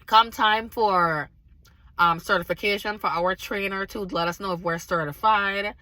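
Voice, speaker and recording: A woman with a slightly raspy, hoarse voice from recent illness, casually and earnestly telling viewers a faith testimony. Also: mid-range voice, fairly even intonation, measured pace, sharp tone, no room sound. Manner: earnest, emphatic, talks straight to viewers. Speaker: vlogger sharing a faith testimony.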